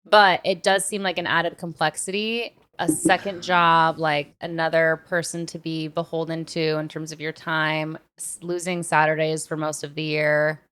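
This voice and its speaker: A woman's voice: sits low, little pitch movement, normal speed, few pauses, a bit bright, clear, resonant tone. A woman podcast host in a casual, earnest chat, offering advice informally.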